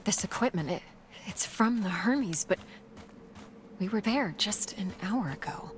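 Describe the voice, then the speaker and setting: A woman playing an observant scientist explorer in a sci-fi video game, speaking in a measured, analytical way. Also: medium pitch, animated, slight background noise, close to an everyday voice. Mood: wary. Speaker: game protagonist. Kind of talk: scripted video game voice acting, spoken log entries and musing aloud.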